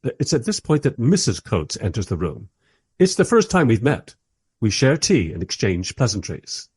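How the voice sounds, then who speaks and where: Husky, hesitant documentary interviewer. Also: male voice, mid-range voice, sing-song, moderate pace, a little mellow, no echo, studio quality. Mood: wry, uneasy. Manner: hesitant, polite, wry. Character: polite, squeamish male documentary interviewer narrating his account. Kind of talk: radio play narration and dialogue.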